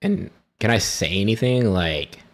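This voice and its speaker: A man's voice: fairly low pitch, animated, measured pace. A man talking casually as a player in a tabletop role-playing game.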